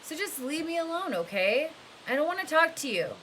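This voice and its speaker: A woman voicing an excitable, snappy teenage boy from a storybook, loud and blurting. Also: high-pitched, moderate pace, a little hiss or hum, voice pushed up, poor audio. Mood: irritated.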